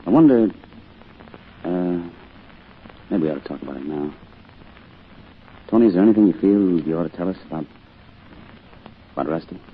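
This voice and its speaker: An American actor playing a kindly, gentle bereaved father in a 1940s radio drama, speaking in a hesitant, folksy way. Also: deep voice, lively intonation, normal speed, pauses often, husky. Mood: grave, tender. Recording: tinny old radio broadcast, faint music.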